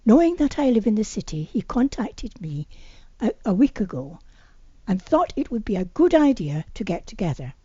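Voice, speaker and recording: A woman's voice: mid-range voice, sing-song, normal speed, dark tone, breathy edge, no room sound, highs cut off. A woman reading the part of a hesitant but pushy female friend asking a favour in an amateur audiobook. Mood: coaxing. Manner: hesitant, coaxing. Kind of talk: amateur audiobook character dialogue.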